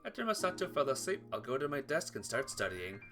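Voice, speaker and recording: A man's voice: medium pitch, very animated, fast, sharp tone, soft background music. A man reading visual novel text aloud expressively as a playthrough host, stumbling over the odd word.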